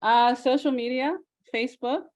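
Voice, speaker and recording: An American woman on a casual video call, friendly and quick to laugh, giving short answers. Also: high voice, fairly even intonation. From United States. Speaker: guest on a coaching call.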